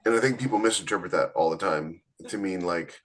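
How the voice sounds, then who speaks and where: Low-voiced male podcast co-host, blunt and emphatic, casual talk. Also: a little fast. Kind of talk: casual chat.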